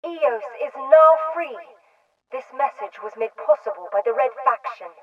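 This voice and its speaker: An actress playing a defiant female rebel broadcaster reading propaganda slogans over a filtered loudspeaker in a video game. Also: high-pitched, animated, moderate pace. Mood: proclaiming. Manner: declarative, sloganeering. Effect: loudspeaker radio filter. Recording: tinny band-limited loudspeaker broadcast, distant.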